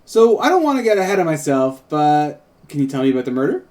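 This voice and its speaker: A man reads as a visiting harpy woman investigating a case in a drama script. The tone is mellow and the intonation lively, with polite, gently probing questions. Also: a little high, quick pace. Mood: curious, probing. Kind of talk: script table read, in character.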